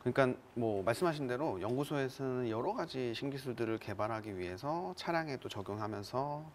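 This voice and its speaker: A man's voice: medium pitch, expressive, brisk, slight background noise. A man working as an engineer, giving polite, formal, technical explanations in an interview. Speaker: car development engineer.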